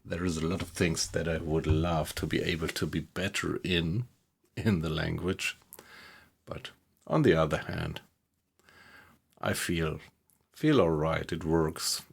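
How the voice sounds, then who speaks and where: A German-accented man streams a game and talks casually with his viewers, relaxed and a little hesitant. His voice sits very low and has a gravelly, husky edge. He speaks at an unhurried pace. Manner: relaxed, hesitant, often chuckling. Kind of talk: casual chat with viewers while gaming.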